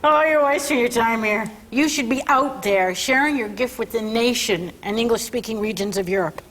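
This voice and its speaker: A wry, self-important woman store clerk from a sitcom, acted by a woman at a table read. Her voice is on the high side, airy and sarcastic, with noise in the room behind it. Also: moderate pace, fairly bright tone, lightly acted. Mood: flattering, amused. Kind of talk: sitcom script read-through.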